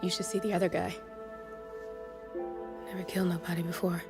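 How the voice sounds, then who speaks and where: A shaken young ally, voiced by a woman speaks quietly and subdued. Her pitch stays flat and a little low, with a score playing clearly behind. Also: a little fast, music in the background, close to an everyday voice. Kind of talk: video game cutscene acting.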